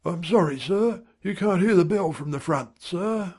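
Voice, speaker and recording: A man's voice: sits high, animated, measured pace, darkish tone, breathy edge, pitched above the performer's own voice, full character voice. A man voicing an ingratiating young manservant with a deferential, colloquial way of talking in an audiobook. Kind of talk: audiobook character dialogue.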